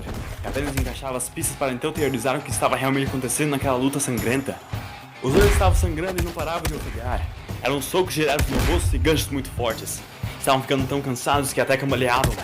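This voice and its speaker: A man with a Brazilian accent, narrating a scripted story in a dramatic style. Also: mid-range voice. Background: music.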